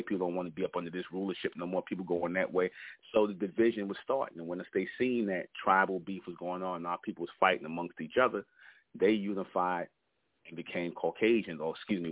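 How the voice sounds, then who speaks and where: Low-voiced man lecturing over a phone line. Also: no echo. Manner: preachy, repetitive, emphatic lecturing. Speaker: radio show host. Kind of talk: talk radio monologue. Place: internet radio call-in show. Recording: tinny phone-line call-in audio, dry.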